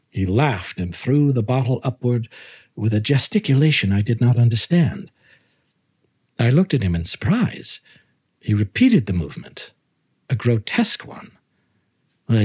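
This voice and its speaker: A man giving a theatrical, deliberate literary reading of a Gothic story as narrator. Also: mid-range voice, animated, darkish tone, breathy edge.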